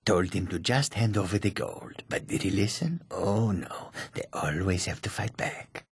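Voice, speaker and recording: An actor playing a rough, greedy cat-folk bandit in a fantasy video game, with coarse, clipped, grumbling speech. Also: male voice, sits low, normal speed, no echo, full character voice.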